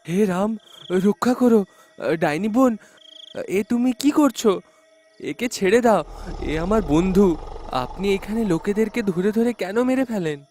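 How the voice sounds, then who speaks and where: A man voicing a kind rickshaw driver in an animated folktale, pleading earnestly and urgently. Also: high-pitched, moderate pace, pauses often, faint music behind. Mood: alarmed pleading.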